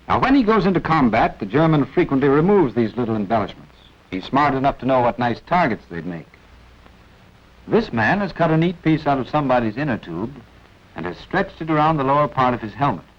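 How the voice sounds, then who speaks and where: An American man narrating a 1940s military training film in an instructive, wry manner with deliberate emphasis. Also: medium pitch, highs cut off. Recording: band-limited 1940s film soundtrack.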